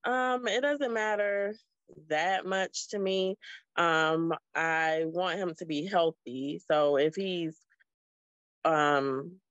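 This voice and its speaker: A woman's voice: fairly low pitch, slow, a bit bright, dry recording. Woman in her thirties on a dull video call. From South Florida. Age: 30s. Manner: friendly, thoughtful, with frequent ums. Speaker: guest. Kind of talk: casual video call chat. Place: small room indoors.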